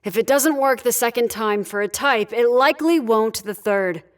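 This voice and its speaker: A woman's voice: on the high side, moderate pace, natural voice. A woman playing a no-nonsense mission leader on a spaceship in an audio drama, curt and authoritative. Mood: firm, curt.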